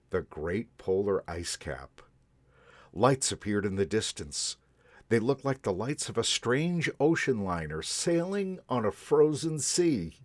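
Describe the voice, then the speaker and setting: A man in a Santa persona reading a picture book aloud to children in a gentle, expressive way. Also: lowish voice, dry recording. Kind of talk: storybook read-aloud to children.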